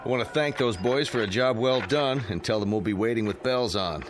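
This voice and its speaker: An actor playing a commanding military general in a radio drama, with brisk, clipped authority. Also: male voice, medium pitch, over music, lightly acted. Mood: brisk, pleased. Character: commanding, clipped male Air Force general at flight control.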